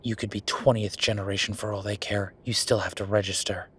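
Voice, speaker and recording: A man performing a roleplay audio drama as an exasperated werewolf official venting to his partner, weary, sardonic and conversational with emphatic pauses. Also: lowish voice, little pitch movement, fairly bright tone, a little hiss or hum, natural voice. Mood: frustrated, defiant.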